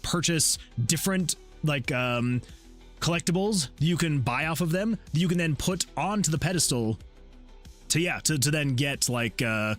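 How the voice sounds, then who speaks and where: A man hosting a game development livestream, chatting casually with viewers and rambling excitably as he thinks out loud. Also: medium pitch. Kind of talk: casual chat with stream viewers while working. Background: background music.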